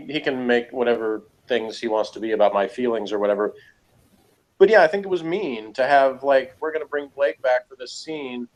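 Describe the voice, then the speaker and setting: A man's voice: mid-range voice, poor audio. A middle-aged man hosting a casual review discussion, talking in a rambling, self-qualifying, conversational way. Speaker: podcast host.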